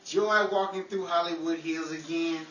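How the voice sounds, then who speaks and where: An actor playing a put-upon, sarcastic neighbour in a 1940s-style radio comedy, sounding grumpy and weary. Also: male voice, a little high, steady tone, normal speed, muffled recording, close to an everyday voice. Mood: irritated. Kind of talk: 1940s old-time radio comedy acting. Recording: echoey, distant, noisy recording.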